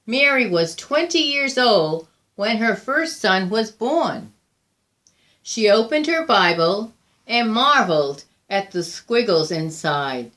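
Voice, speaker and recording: A woman reading a picture book aloud as a storyteller, deliberate and expressive, with frequent pauses. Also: medium pitch. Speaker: volunteer storybook reader.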